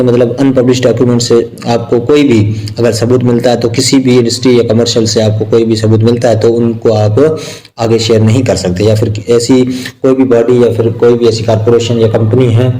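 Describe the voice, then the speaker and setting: A man with a Pakistani accent giving an informal law lecture, explaining point by point in a repetitive, halting way with English terms mixed in. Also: mid-range voice, dark tone, low-quality recording.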